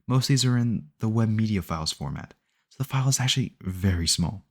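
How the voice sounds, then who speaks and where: Casting: male actor as yandere boyfriend for ASMR roleplay. Low voice, doting, possessive, rambling and earnest in self-justification. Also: animated, quick pace, a little mellow. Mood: earnest, self-justifying. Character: obsessive, possessive yandere boyfriend, sweetly cheerful kidnapper. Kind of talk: ASMR audio roleplay addressed to the listener.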